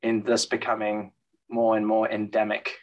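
A man answering interview questions in a thoughtful, measured way, with frequent fillers and restarts. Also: lowish voice, completely flat delivery, highs cut off. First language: English. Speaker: guest, transit expert. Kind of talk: interview conversation.

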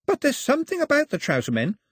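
Man voicing an eager, high-pitched young islander chatterbox. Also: huge pitch swings, fast talker, fairly bright tone, dry recording, studio quality, full character voice. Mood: enthusiastic. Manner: eager, emphatic, explanatory. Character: chatty, cheerful young islander man explaining foreign customs. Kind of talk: storybook character dialogue.

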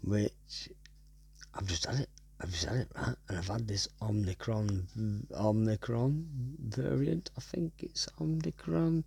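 Gravelly Wigan man ranting casually. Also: lowish voice, mellow, no echo. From North West England. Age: 30s. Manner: swears a lot and keeps tagging "right?". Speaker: podcast host. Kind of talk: casual monologue.

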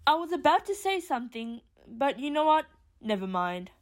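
A woman's voice: high-pitched, big pitch swings, normal speed. A woman playing a blunt, argumentative but grudgingly caring character in an audio drama.

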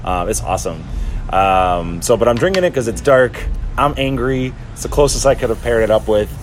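A man's voice: medium pitch, animated, fairly quick, slight background noise. A young adult man from Chicago co-hosting a podcast, chatting casually and telling stories with lots of fillers. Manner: rambling, storytelling with fillers. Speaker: podcast co-host. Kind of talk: casual chat.

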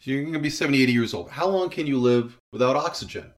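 Man, medium pitch, interview guest, conversational, explanatory, articulate, rhetorical questions. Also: lively intonation, fast. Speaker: guest, writer and creator of an audio drama.

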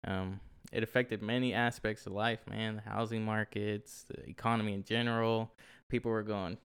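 A young man from Oklahoma co-hosting a relaxed podcast chat, his lowish voice smooth and clean but with a gravelly edge. He fills gaps with ums and laughs easily between points. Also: normal speed. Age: 20s. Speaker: podcast co-host. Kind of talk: casual chat. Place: shoe room at home.

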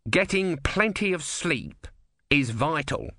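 A man voicing a grumpy, smug male tortoise god in an audiobook, dry and unhurried. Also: a little high, animated, sharp tone, dry recording, voice pushed up, exaggerated voice. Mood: smug lecturing.

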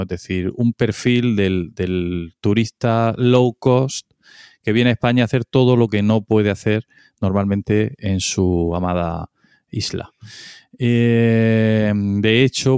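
Host, male, from Spain, lowish smooth voice, halting delivery, dry close mic. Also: a little mellow, no room sound. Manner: hesitant, many fillers and restarts. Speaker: podcast host. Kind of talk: casual discussion.

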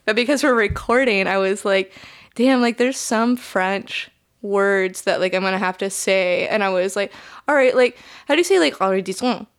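A woman's voice: medium pitch, normal speed. Chatty young woman who swears a lot. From United States. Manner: chatty, laughing, swears freely, lots of "like". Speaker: guest. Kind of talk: casual podcast chat.

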